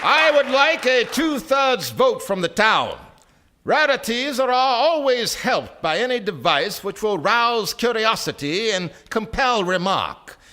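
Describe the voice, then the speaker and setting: A man playing a sly, self-assured gentleman speculator in a stage radio play, speaking formally like an orator. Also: high voice, very animated, slow, some chatter behind, slight background noise, heavily acted. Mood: oratorical, persuasive. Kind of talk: stage radio drama acting.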